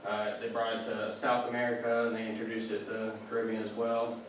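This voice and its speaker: A man's voice: fairly low pitch, monotone, a little fast. Casual young man in an echoey room. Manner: casual, chatty, many fillers and asides. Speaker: student giving a class speech. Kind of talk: informal classroom presentation. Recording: echoey room, distant mic, background noise.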